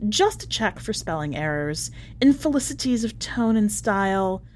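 A woman voicing a polite, chatty, quietly unhinged prisoner writing a letter, in a prim manner, as a dramatic story reading. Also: medium pitch, very animated, moderate pace, slight background noise, close to an everyday voice. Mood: polite, confiding. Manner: prim, ornate, politely understated phrasing.

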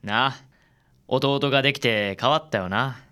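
A man's voice: medium pitch, normal speed, pushed and bright, clear, resonant tone, natural voice. A man with a Kansai accent acting an easygoing, understanding young father in a radio drama. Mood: gentle, frank. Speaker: amateur radio drama actor.

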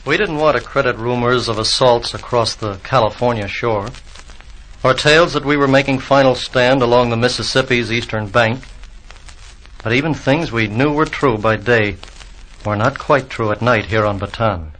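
An actor playing a weary, solemn fallen American soldier reciting verse in a 1940s radio drama. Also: male voice, medium pitch, normal speed, fairly bright tone, natural voice. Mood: solemn, grave. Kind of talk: 1940s radio acting, blank verse monologue. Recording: faintly noisy 1940s radio recording.

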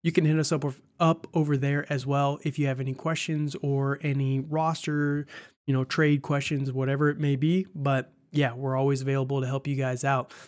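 A man's voice: mid-range voice, level tone, moderate pace. A man with a cold, sounding stuffed up, hosting a casual sports podcast in a rambling, chatty way and laughing often. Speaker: podcast host.

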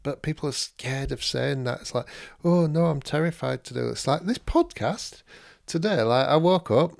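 A man's voice: a little high, expressive, moderate pace, vocal fry. A man co-hosting a podcast, talking in a nervous, rambling way full of fillers. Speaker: podcast co-host. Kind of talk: casual chat. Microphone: desk microphone.

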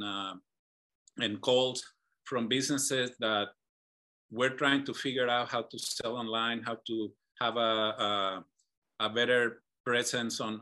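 A man's voice: medium pitch, pushed and bright. A man from Mexico, a business association founder, telling his story as a guest at an online meetup, earnest and often repeating words as he searches for phrasing.

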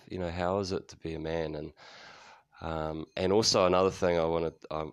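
Kiwi-accented man in his fifties, very low, smooth voice, dry close sound; loose, candid podcast monologue with frequent swearing. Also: no room sound. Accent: New Zealand. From New Zealand. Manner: candid, rambling, swears freely, many fillers and restarts. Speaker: podcast host. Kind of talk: casual solo podcast monologue.

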